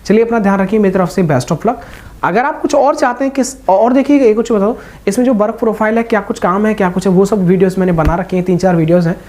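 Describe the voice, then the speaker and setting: A young man with a very mellow, soft-edged voice talks to viewers like an older study partner. He is informal and reassuring, offering motivational advice in a casual way. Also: high-pitched, fast. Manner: informal, reassuring, addressing listeners as brothers. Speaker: exam-preparation video host.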